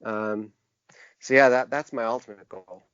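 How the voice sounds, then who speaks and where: Guy being interviewed, lots of halting thoughtful talk, mid voice, kinda muffled dry recording. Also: mid-range voice, steady tone, a bit bright, clean tone, no echo. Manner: hesitant, halting, earnest. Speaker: interview guest; typographer and book designer. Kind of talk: conversational interview answers. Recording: dull, narrow-band lo-fi sound.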